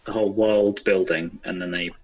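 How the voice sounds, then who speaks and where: A man's voice: low voice, fairly even intonation, moderate pace, lo-fi sound. A man chatting casually as an interview guest, a game developer who talks in a hesitant, self-effacing, rambling way. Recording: distant mic with music behind. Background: music.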